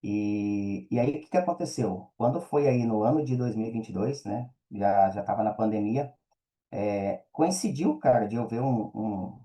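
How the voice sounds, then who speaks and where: A man's voice: fairly low pitch, dark tone. A man with a Brazilian accent, a podcast guest telling his own story casually in slangy, colloquial speech full of tag questions.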